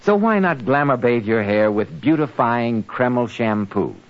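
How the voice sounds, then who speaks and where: A man working as a radio announcer and host, giving polished, promotional 1940s sponsor pitches. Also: lively intonation, unhurried. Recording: muffled 1940s radio, music behind.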